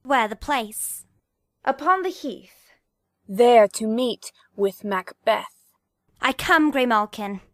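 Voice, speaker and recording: A woman's voice: on the high side, animated, takes it slowly, no room sound, studio quality. A woman playing an eerie witch, chanting Shakespearean verse in a dramatic audiobook reading. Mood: eerie, conspiring.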